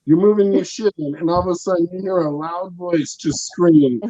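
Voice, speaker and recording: Loud, rambling man doing stand-up. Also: sits high, a little fast, no room sound, lo-fi sound. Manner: loud, rambling, self-mocking storytelling. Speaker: stand-up comic in residence. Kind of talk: stand-up set over a stream.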